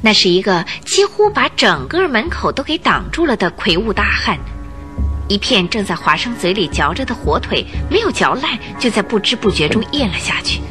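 A woman's voice: medium pitch, sing-song, moderate pace, music in the background. A woman narrating a radio drama in a dramatic, scripted storytelling style.

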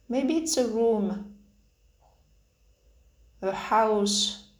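Woman meditation guide, very unhurried pace, halting phrases, long pauses, roomy echo. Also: mid-range voice, a little mellow. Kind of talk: guided meditation, gentle spoken instructions. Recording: roomy, echoing sound.

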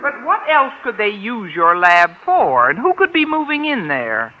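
A woman's voice: medium pitch, sing-song, unhurried, phone-quality sound. An American actress playing a wry, middle-aged woman schoolteacher with dry, sardonic wisecracks in a 1950s radio sitcom. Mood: indignant, wry. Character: wry, wisecracking single woman high school English teacher. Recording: hissy old radio broadcast.

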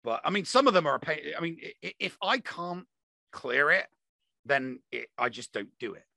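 A man's voice: fairly high pitch, expressive, moderate pace, gravelly, bright, forceful tone, dry recording, studio quality. A man in a casual, opinionated gaming discussion, talking emphatically and repeating himself to drive points home.

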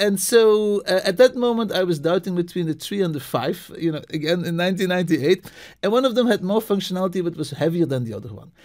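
A middle-aged man from Belgium, a marketing professor, talking casually as a guest and telling animated stories with many restarts. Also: high-pitched, quick pace. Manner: animated storyteller, many restarts and repeated words. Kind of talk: casual interview talk.

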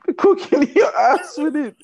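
A woman's voice: sits high, expressive, moderate pace, noisy background. A woman co-hosting a casual chat, joking crudely and laughing often. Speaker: podcast co-host.

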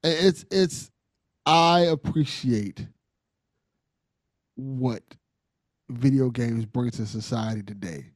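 A man's voice: mid-range voice, slow pace, pauses often, dry recording. A man hosting a podcast in casual conversation, earnest and appreciative, often repeating things for emphasis. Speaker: podcast host.

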